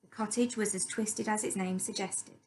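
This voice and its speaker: A woman's voice: mid-range voice, steady tone, normal speed, a little mellow, slight background noise. A woman reading a story aloud as scripted narration.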